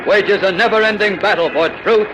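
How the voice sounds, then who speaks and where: A man's voice: high voice, a little fast, rough recording. A man narrating a 1940s radio serial as announcer, in a dramatic, declamatory style. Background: music.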